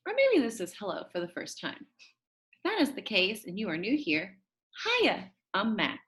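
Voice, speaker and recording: A woman reading a children's book as a shy, quirky, upbeat school kid, chummy and expressive with dramatic emphasis. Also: a little high. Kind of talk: first-person children's book narration.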